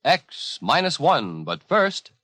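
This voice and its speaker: A man's voice: fairly high pitch, huge pitch swings, slow pace, over music. A man working as a radio announcer, delivering a scripted 1950s program announcement.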